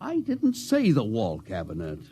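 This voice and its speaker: An actor playing a stern, authoritative spaceship captain in a 1950s radio drama. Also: male voice, fairly low pitch, wildly swooping pitch, unhurried, mellow, slightly rough, heavily acted. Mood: stern, suspicious. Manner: authoritative, clipped, interrogating. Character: stern, commanding, hot-tempered spaceship captain. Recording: vintage radio recording, faint background noise.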